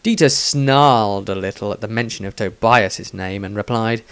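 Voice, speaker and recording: A man's voice: on the low side, moderate pace, fairly bright tone, clean tone. A man reading his own fantasy story aloud as scripted narration.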